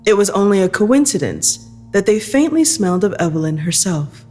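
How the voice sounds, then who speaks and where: Measured female story narrator over music. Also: mid-range voice, sing-song, a little mellow. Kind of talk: scripted dramatic narration.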